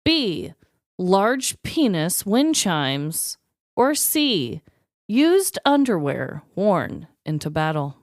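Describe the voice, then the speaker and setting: A woman co-hosting a podcast with casual comic storytelling and banter, animated and sweary, acting out dialogue. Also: fairly low pitch, extremely slow, no echo, studio quality. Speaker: podcast co-host.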